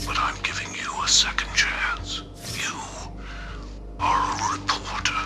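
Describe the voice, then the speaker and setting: An actor playing a menacing serial killer who taunts captives in slow, ominous, distorted recordings with a breathy growl. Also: male voice, very high voice, heavily acted. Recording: music under the voice.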